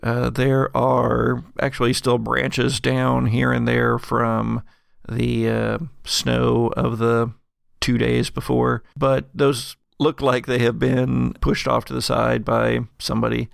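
Slow, very gravelly man narrating. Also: medium pitch, completely flat delivery, no room sound, studio quality. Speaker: game master. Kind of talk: narrating a tabletop horror game.

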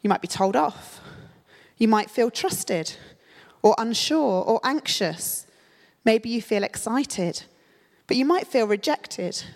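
A woman preaches to her congregation, her voice slightly croaky from a cold. She speaks in a relaxed, conversational way, pausing on little fillers. Her words ring out with a long reverb off the walls of a church hall. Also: on the high side. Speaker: church leader. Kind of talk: sermon.

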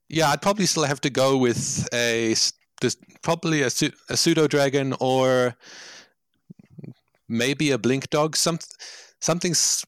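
A man in his twenties with a South African English accent, a podcast guest who thinks aloud in a measured way with pauses. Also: mid-range voice, fairly bright tone, clean tone, no room sound. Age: 20s. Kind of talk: casual podcast chat.

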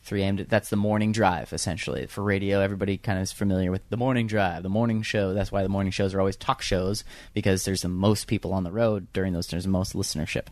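A man's voice: deep voice, steady tone, fast, no room sound. A young man with a young-sounding voice, chatting casually as an interview guest about radio production work, full of fillers and restarts. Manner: rambling with many fillers and restarts.

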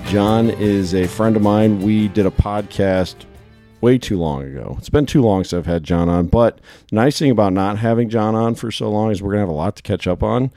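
A man hosting a casual podcast, his voice hoarse and worn, chatting and telling stories in quick bursts. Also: fairly low pitch, dark tone, no echo, faint music behind. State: hoarse from shouting. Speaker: podcast host.

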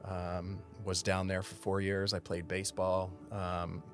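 A man in his forties from Massachusetts, a city services manager giving an unhurried, detailed interview with frequent pauses. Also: low voice, monotone, faint music behind. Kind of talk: casual interview conversation.

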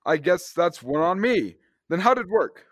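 A man's voice: high voice, sing-song, moderate pace. A young man playing an eager, adventurous space hero in old-time radio serial style, earnest and full of gee-whiz enthusiasm. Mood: excited, eager. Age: young adult. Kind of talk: 1930s radio serial acting.

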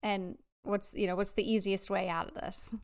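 A woman's voice: mid-range voice, level tone, normal speed, a little mellow. An American woman co-hosting a casual business podcast, chatty and informal, often dropping "like" into her sentences. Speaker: podcast co-host.

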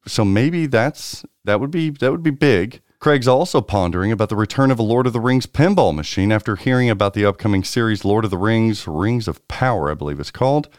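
A man's voice: fairly low pitch, animated, fairly quick, hardly any pauses, no room sound, studio quality. A man co-hosting a podcast, chatting casually and rambling with frequent restarts. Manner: rambling, lots of restarts and fillers. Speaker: podcast co-host. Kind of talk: casual chat about pinball news.

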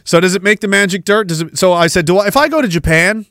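A man's voice: high voice, lively intonation, quick pace, fairly bright tone, no echo, clean studio sound. A Canadian man hosting a podcast, ranting sarcastically with rhetorical questions while reacting to a clip. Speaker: podcast host. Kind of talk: ranting commentary over a played clip.

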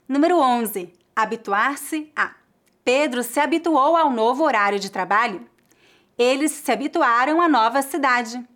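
A Brazilian woman teacher with a slightly high voice, speaking in a clear and measured way. She reads out grammar examples one at a time, enunciating each word carefully in the even cadence of a prepared lesson. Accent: Brazilian Portuguese. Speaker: Portuguese teacher.

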